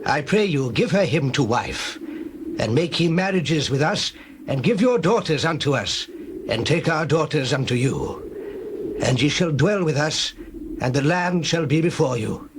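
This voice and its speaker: Man as a stately, slightly high-voiced old chieftain. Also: lively intonation, a little slow, noisy background. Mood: courteous persuasive. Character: stately older father, a courteous biblical chieftain. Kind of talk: dramatized scripture reading.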